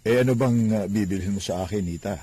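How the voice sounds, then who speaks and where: A man's voice: fairly low pitch, fast, warm tone, lightly acted. An actor playing a devout, kindly Filipino father who runs a small store, earnest and fatherly, in a radio drama. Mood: friendly, firm. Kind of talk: radio drama acting in Tagalog. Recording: noisy radio broadcast playback.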